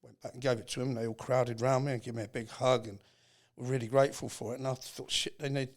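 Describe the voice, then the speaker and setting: An English man speaking as a podcast guest, telling a personal story in an earnest, halting, reflective way. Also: medium pitch, level tone. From England.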